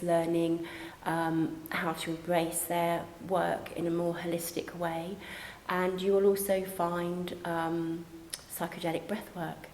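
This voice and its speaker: A woman's voice: on the low side, darkish tone, slight background noise. A woman on a college's staff speaking earnestly and explaining things in an informal promotional interview. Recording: echoey reverberant room, music behind. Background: background music.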